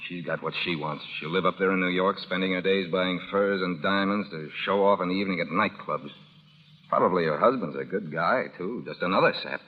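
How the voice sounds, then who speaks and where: An actor playing a proud, cynical, tough-talking rancher in 1930s radio drama style. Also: male voice, low-pitched, a little fast. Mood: bitter, wry. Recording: dull, noisy 1930s radio recording.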